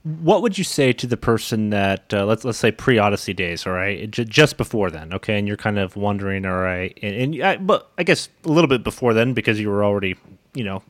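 A man with a fairly low voice hosts a casual interview. His questions wander and hesitate as he phrases them, and the sound is dry with no room echo. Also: animated, a little fast. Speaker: interview host.

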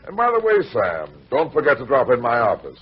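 An actor playing an unruffled, tough American private detective in an old-time radio crime drama. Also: male voice, mid-range voice, wildly swooping pitch, brisk, husky, exaggerated voice. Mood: cool, matter-of-fact. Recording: muffled vintage radio recording, music underneath.

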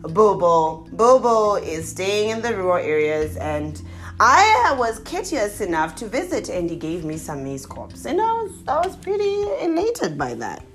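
A young woman from Zimbabwe with a Zimbabwean accent, telling comic stories to her viewers in a casual, animated way with exclamations. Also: mid-range voice, a little slow, soft background music. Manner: animated, exclaiming, laughing often.

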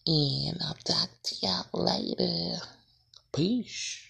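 Woman late 20s doing a solo podcast intro, super gravelly and breathy, slow easygoing ramble. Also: lowish voice, fairly bright tone. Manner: rambling, self-correcting, laughs at own slips. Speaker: podcast host.

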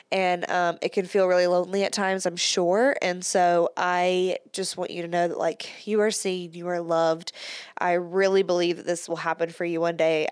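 A woman's voice: fairly low pitch, clear, resonant tone, no room sound, clean studio sound. A woman hosting her own podcast in casual solo chat, a little congested from a cold, talking in a chatty way with frequent "like" and small laughs. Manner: chatty, says "like" often, laughs between words. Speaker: podcast host.

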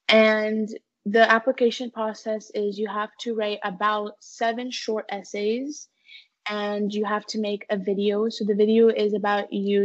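A woman's voice: medium pitch, muffled recording. A young woman, earnestly answering questions in a conversational interview. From United States-born, living in Canada. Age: teens to young adult. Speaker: interview guest, student intern.

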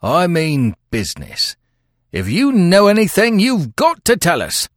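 A man voicing an impatient, commanding, quick-tempered police detective in an audiobook, with stern, urgent delivery. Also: medium pitch, huge pitch swings, vocal fry, no room sound, clean studio sound, voice pushed up, full character voice. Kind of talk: audiobook character voice.

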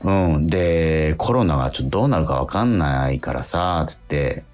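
A man's voice: very deep voice, animated, normal speed, darkish tone, soft background music. A man hosting a casual radio talk in a conversational way, often seeking agreement from his listener. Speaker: radio show host and stage actor. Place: remote recording.

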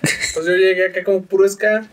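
A man's voice: high voice, flat delivery, moderate pace. A man in his thirties from Chihuahua with a northern Mexican accent, a podcast guest chatting casually in a slangy, laid-back way with free swearing. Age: 30s. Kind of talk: casual chat.

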